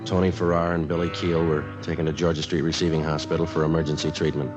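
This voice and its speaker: An actor playing a terse, businesslike police sergeant in a vintage radio crime drama, deadpan and matter-of-fact. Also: male voice, rumbling low, fast, music in the background. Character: terse, businesslike male police sergeant detective, narrating his case. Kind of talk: clipped deadpan radio crime narration and dialogue. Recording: dull old radio recording.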